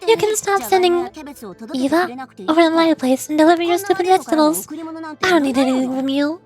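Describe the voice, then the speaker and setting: A woman's voice: very high voice, a little fast, music in the background. A woman voicing an indignant old granny character from a video game, nagging and berating. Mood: exasperated scolding.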